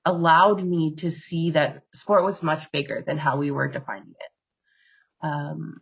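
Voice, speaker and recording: A woman funder speaking on a panel in a measured way, with many fillers and pauses, over a video call. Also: deep voice, steady tone, gravelly, slightly rough, lo-fi sound. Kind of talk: webinar panel talk.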